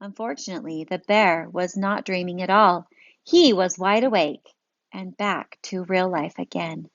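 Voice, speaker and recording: A woman's voice: fairly low pitch, a little slow, no echo. A woman reading a picture book aloud as a teacher, engaging listeners with questions. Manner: lively, engaging, asks questions. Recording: dry, dull lo-fi sound.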